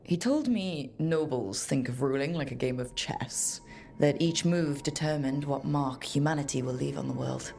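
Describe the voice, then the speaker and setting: Video game adventurer, female, deep register, creaky edge, wry, reflective, unhurried. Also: a little mellow. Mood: resolved. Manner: unhurried, musing to herself. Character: weathered young woman adventurer, resolute, wry, reflective. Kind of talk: video game voice acting, reflective solo musing.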